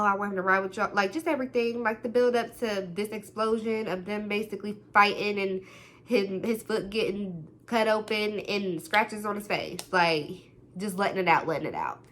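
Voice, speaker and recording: A young woman telling a story to the camera, talking loudly and acting out what people said. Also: mid-range voice. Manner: loud, animated, quotes people in different voices. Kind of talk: casual storytime monologue.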